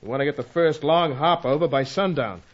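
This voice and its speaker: An actor playing a gruff, money-minded American seaplane pilot in an adventure radio serial, slangy, curt and callous. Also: male voice, on the high side, brisk, close to an everyday voice. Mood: impatient. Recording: dull lo-fi audio with engine noise.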